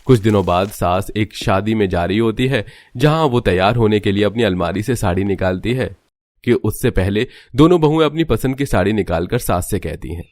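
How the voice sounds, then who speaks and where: A man narrating a cartoon family story in a steady, scripted storytelling manner. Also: lowish voice, moderate pace, dry recording.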